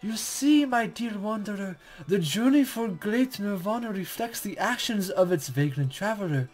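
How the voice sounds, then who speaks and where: A man's voice: sits high, lively intonation, normal speed, a little mellow, faint music behind. A man voicing a playful, smug female spirit guide who speaks in formal, cryptic, teasing phrases in a visual novel read-aloud. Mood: teasing, instructive. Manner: archaic, formal, teasing, condescending.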